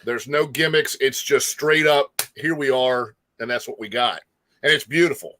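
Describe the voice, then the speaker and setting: A man's voice: medium pitch, normal speed, sharp tone, a little hiss or hum. A middle-aged man hosting a casual music interview, speaking in an effusive, admiring manner. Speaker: talk show host.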